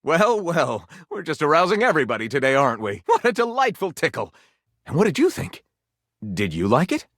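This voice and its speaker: A man's voice: a little high, huge pitch swings, a little fast, fairly bright tone, no echo, studio quality, full character voice. A man voicing a theatrical, bookish living-library character in a video game, effusive and florid in diction. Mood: delighted. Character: flamboyant, theatrical bookish living library, eager and easily wounded.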